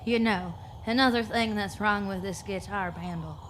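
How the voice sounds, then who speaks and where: A woman's voice: medium pitch, normal speed, a bit bright, a little hiss or hum, natural voice. Woman playing a taunting, cruel Western bully sidekick. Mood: gleeful cruelty. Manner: mocking, folksy. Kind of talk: Western radio drama acting.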